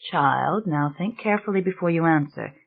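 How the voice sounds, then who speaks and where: A woman voicing a patient male priest who speaks gently and carefully to a child. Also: sits low, lively intonation, normal speed, gravelly, exaggerated voice. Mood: coaxing. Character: earnest patient male priest, middle-aged. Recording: dull lo-fi recording.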